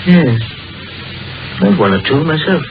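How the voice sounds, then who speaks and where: A musing, expressive doctor, vintage radio. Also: male voice, mid-range voice. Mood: wry, uneasy. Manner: musing, storytelling, deliberate phrasing. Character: imaginative, musing, dryly amused small-town doctor, a man. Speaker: actor in a radio mystery drama. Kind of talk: old-time radio drama acting. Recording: muffled vintage radio recording with music.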